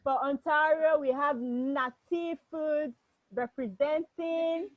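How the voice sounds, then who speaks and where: A woman's voice: high-pitched, steady tone, slow. Chatty Nigerian woman hosting a video call. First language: Yoruba. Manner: chatty, with restarts and laughs. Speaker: host. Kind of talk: hosting an online cooking contest. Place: home. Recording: dull, dry home video call audio.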